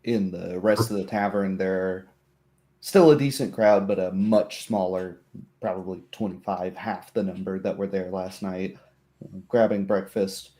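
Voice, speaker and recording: A man narrating a tabletop roleplay as game master, deliberate and descriptive, pausing between phrases. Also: low-pitched, steady tone, warm tone.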